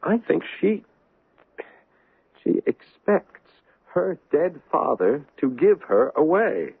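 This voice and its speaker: An actor playing an anguished young bridegroom in a 1970s radio drama, agitated and halting, with intense emphasis. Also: male voice, fairly high pitch, very animated, gravelly, husky, full character voice. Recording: dry lo-fi old radio broadcast.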